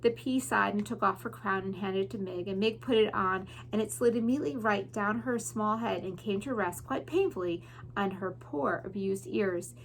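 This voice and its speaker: A woman's voice: medium pitch, normal speed, a little hiss or hum, poor audio. A woman narrating a children's book expressively, like a teacher reading aloud to pupils.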